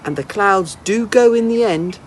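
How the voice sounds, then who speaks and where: A woman voicing a small, brisk, chatty old lady in a children's storybook, blunt and questioning but kindly underneath. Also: mid-range voice, a little hiss or hum, close to an everyday voice. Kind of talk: children's storybook character reading.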